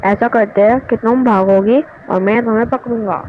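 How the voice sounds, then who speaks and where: A woman's voice: a little high, steady tone, normal speed, lightly acted. A woman voicing a friendly, helpful young male bird in a children's cartoon, simple and eager. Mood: playful. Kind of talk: children's cartoon story dub. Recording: dull, faintly noisy, radio-like.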